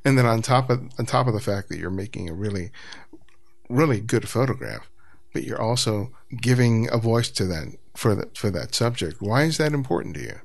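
Low gravelly male podcast host. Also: expressive, a little fast. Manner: thoughtful, stammering restarts. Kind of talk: interview questions.

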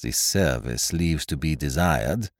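A man voicing a courteous, shrewd detective with a dry, measured, formal manner, in an audiobook. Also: deep voice, big pitch swings, darkish tone, dry recording. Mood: pensive, dry. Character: calm, courteous, shrewd foreign male detective.

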